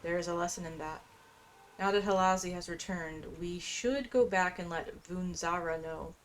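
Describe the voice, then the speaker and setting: A woman's voice: fairly low pitch, moderate pace, slight background noise, low-quality recording. A woman doing casual gameplay commentary, chatty and musing as she plays and reads quest text aloud. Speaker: gameplay streamer. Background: faint game music.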